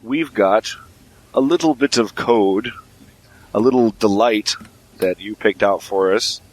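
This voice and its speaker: A man hosting a casual podcast, relaxed and joking as he runs the show. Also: lowish voice, unhurried, rough recording. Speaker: podcast host.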